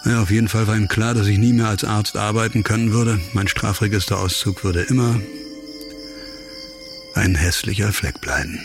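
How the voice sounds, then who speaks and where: A man acting a grave, bitter, disgraced former doctor in a radio crime drama, speaking reflectively in sparse, halting phrases. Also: fairly low pitch, steady tone, over music, natural voice. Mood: somber, reflective.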